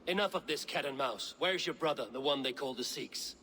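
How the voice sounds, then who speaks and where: An actor playing a stern, probing warrior investigating a murder in a video game. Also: male voice, mid-range voice, brisk, sharp tone, slight background noise. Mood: stern, accusing. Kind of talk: video game dramatic acting.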